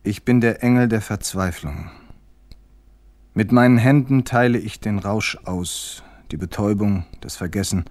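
Male actor as solemn, despairing poet, lowish voice, close dry radio recitation. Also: measured pace, darkish tone, no echo, natural voice. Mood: grave, bleak. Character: solemn poetic voice of a despairing angel and writer. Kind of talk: literary recitation in a radio feature.

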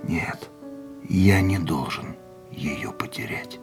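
An actor playing an anxious, earnest man in love in a radio drama, with halting theatrical delivery. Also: deep voice, lively intonation, gravelly, music in the background, exaggerated voice. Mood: self-doubting, reflective. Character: man in love, anxious, pleading, self-doubting suitor. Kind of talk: radio play dialogue and inner monologue.

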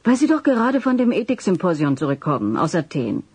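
An actress playing a cheeky, persistent TV reporter in a radio drama, coaxing and teasing with gentle irony. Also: female voice, fairly low pitch, very animated, brisk, slightly rough, full character voice. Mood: persuasive. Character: sly, cheeky, persistent female TV news reporter.

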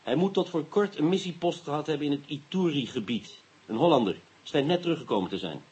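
An actor playing a brisk, wry police inspector with a Dutch accent in a radio drama. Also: male voice, a little high, expressive, darkish tone, breathy edge. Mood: businesslike. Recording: dull, noisy radio play recording.

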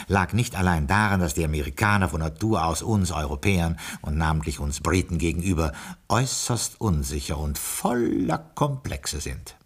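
Deep, husky, haughty butler. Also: male voice. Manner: stiffly formal, measured, deadpan. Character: haughty, dry British castle butler, unimpressed and formal. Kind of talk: German radio play acting with narration.

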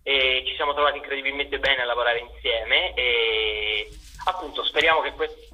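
A man's voice: mid-range voice, normal speed, bright, forceful tone, low-quality recording. A young man answering interview questions as a theatre director, in an earnest, wordy and articulate way. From Italy.

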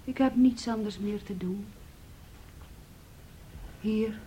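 A woman's voice: medium pitch, slow, dark tone, breathy edge, low-quality recording. A woman acting a wounded, weary daughter in a radio play, resigned and sardonic. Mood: sad, taunting.

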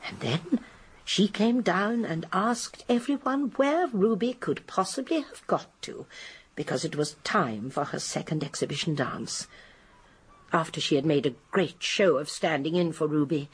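A woman's voice: on the low side, animated, unhurried, slightly rough, heavily acted. An actress playing a shrewd, genteel elderly English spinster detective in a radio drama, precise and emphatic as she explains. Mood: grave, explanatory. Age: older. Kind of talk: British radio drama acting.

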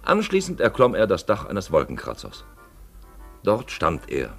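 A male narrator reads a literary radio feature in a fairly low voice. His pitch moves in lively swings, and faint music plays behind him. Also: unhurried, creaky.

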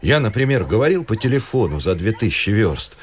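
A man's voice: lowish voice, very animated, fairly quick, a little hiss or hum. A man acting an earnest, educated city professor in a radio play, articulate and insistently questioning. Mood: fervent.

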